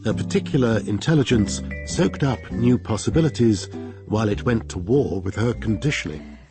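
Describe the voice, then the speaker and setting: A man's voice: lowish voice, lively intonation, normal speed. A man narrating a scripted drama as a storyteller. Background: music.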